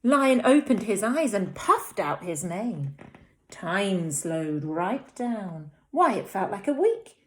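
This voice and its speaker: Female infant teacher, English accent, reading to little ones; mid-pitched, very varied intonation, dramatic delivery. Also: slow pace. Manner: expressive, dramatic storytelling. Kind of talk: reading a picture book aloud to young children.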